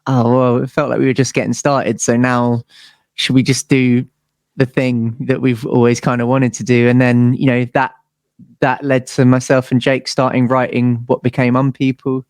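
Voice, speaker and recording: A British man speaks casually as an interview guest, in a slightly low voice. He rambles informally through his stories like a musician among peers. The sound is dry, with very little room to it. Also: level tone, moderate pace, darkish tone, no echo. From United Kingdom.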